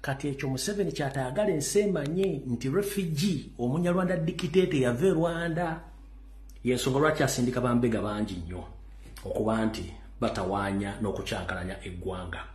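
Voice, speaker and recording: A man's voice: mid-range voice, echoey room. A Ugandan man giving urgent political commentary, warning listeners and mixing English into his talk.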